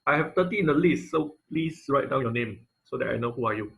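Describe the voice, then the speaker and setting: A man teaching an informal online class and taking questions, speaking haltingly and often repeating phrases as he searches for words. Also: medium pitch, animated, gravelly, fairly bright tone. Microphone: online video-call audio.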